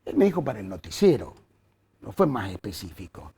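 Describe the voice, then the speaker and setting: A man's voice: lowish voice, brisk, vocal fry, slightly rough. An older man from Córdoba with an Argentine accent, telling career anecdotes as an interview guest with lots of fillers and restarts. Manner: animated storyteller with frequent fillers and restarts. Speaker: journalist guest. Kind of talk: anecdotal storytelling in conversation.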